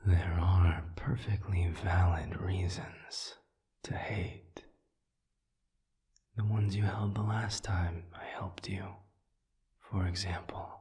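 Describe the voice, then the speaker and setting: A suave demon, played by a man, speaks in a very low, breathy half-whisper. He is extremely slow and deliberate, leaving long pauses between formal, carefully chosen words. His patient, soothing counsel suits an intimate audio roleplay. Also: fairly even intonation, dark tone. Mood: gently probing. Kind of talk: audio roleplay, intimate one-sided dialogue.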